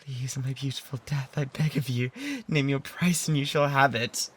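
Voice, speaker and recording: A man's voice: medium pitch, fairly even intonation, normal speed, a bit bright. Man acting a lovesick, pleading British pirate. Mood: fervent, pleading. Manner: fervent, breathy pleading that often drops toward whispers. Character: lovesick obsessive male pirate, pleading and self-abasing. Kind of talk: romantic ASMR roleplay acting. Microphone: close binaural microphone. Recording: noticeable background noise and ambience.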